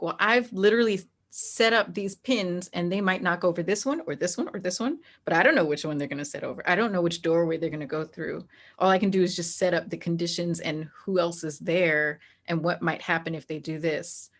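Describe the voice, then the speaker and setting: A woman with a fairly low voice talks casually as the guest in an interview. She works through her points out loud, often acting out example thoughts as she goes. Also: normal speed. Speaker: interview guest, tabletop game master. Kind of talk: casual, conversational interview talk.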